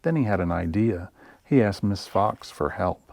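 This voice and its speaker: A man hosting a library story time, reading picture books to young children in a deliberate, expressive way with pauses for effect. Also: low-pitched, vocal fry, dry recording. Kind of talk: picture-book read-aloud for young children.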